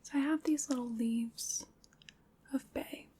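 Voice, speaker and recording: A woman playing a calm witch in an ASMR roleplay, leading a ritual in a hushed, soothing, deliberate whisper. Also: sits high, husky, exaggerated voice. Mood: gentle, intimate.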